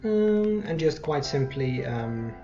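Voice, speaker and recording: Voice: mid-range voice, animated, slow, dark tone. Dull-sounding gamer rambling over faint music. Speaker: gameplay host. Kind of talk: casual let's play commentary.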